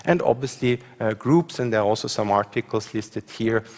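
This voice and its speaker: A man's voice: fairly low pitch, moderate pace, darkish tone. A man from Austria with an Austrian accent, a university professor giving a conference talk with slides, hesitant and full of fillers, often checking in with the audience. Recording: long hall reverb.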